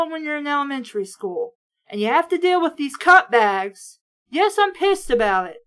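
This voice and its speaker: A woman's voice: high-pitched, fairly bright tone. A woman reading aloud casually with blunt, sweary, sarcastic running commentary in a ranting manner.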